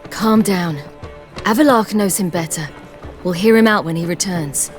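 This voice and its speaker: A woman's voice: on the low side, normal speed, faint music behind, lightly acted. Woman as a composed, sensible fantasy heroine. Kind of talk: cinematic fantasy game acting.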